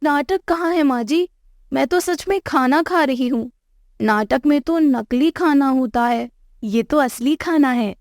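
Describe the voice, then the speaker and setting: A woman plays a young bride from a poor village, brazen and greedy for food. The voice is fairly high and dry, with no room around it. She talks back with cheek and defiance, in cartoon storytelling style. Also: unhurried, darkish tone, no room sound. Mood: innocent, cheeky. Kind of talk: Hindi moral-story cartoon dialogue.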